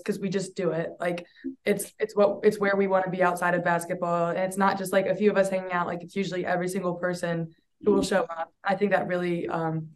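A young woman from Northern Kentucky, a college basketball player, chatting casually in a podcast interview, laughing easily and often saying "like". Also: lowish voice. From Northern Kentucky, USA. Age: young adult. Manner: relaxed, laughs easily, many "like" fillers.

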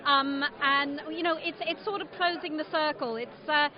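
High voiced woman gushing excitedly, loud crowd behind, dull audio. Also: normal speed. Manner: effusive, enthusiastic. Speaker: former prize winner.

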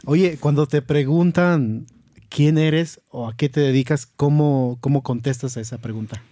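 A man's voice: on the high side, measured pace, mellow, no echo. A man hosting a casual podcast interview, friendly and full of slang. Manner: friendly, uses Mexican slang. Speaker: podcast host.

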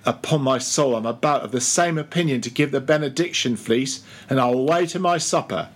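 A man voicing a brisk, teasing ship's officer in a sea story audiobook. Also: medium pitch, close to an everyday voice. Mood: breezy.

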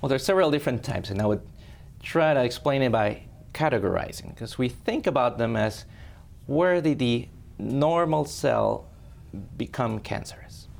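A man's voice: mid-range voice, expressive, moderate pace, creaky. A man from Ecuador, a hematologist, patiently explaining blood disorders as an interview guest in a friendly way.

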